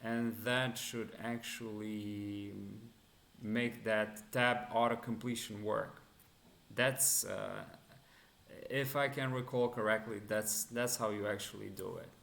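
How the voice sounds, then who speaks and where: Romanian man, gravelly voice, fillers. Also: fairly low pitch, steady tone, slow, fairly bright tone. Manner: hesitant, many fillers and restarts. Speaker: livestream host teaching cybersecurity. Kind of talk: live coding stream with chat Q&A. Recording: echoey room.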